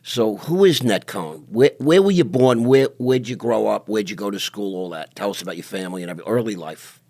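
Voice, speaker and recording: A man with a gravelly, fry-edged voice hosts a podcast from a studio. He talks in brash, hype-man banter and plays up his guest. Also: fairly low pitch, animated, a little fast, darkish tone. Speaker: podcast host. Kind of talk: casual interview.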